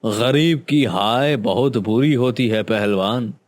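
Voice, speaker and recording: A man's voice: mid-range voice, takes it slowly, close to an everyday voice. A man voicing a solemn male doctor giving grim advice in a Hindi cartoon. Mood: grave. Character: grave male village doctor.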